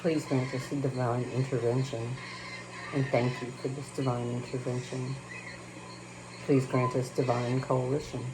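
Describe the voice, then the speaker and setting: A woman with a Texas accent speaking slowly and haltingly, with many fillers, first chatting about her channel and then reciting a prayer. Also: a bass voice, level tone. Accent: Texan. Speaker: spiritual channel host. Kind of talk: casual spiritual talk and spoken prayer. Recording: noticeable background noise.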